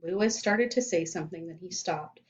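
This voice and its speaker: A woman's voice: fairly low pitch, normal speed. Woman reading a children's book, slightly muffled. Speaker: storybook reader. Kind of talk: expressive children's book read-aloud. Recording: slightly dull, lo-fi sound.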